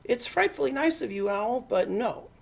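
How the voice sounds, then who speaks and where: Woman as a polite, lightly husky little mouse. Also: fairly high pitch, lively intonation, normal speed, voice pushed up. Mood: polite refusal. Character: small clever brown mouse, polite, bluffing, confident. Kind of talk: animated picture-book character reading.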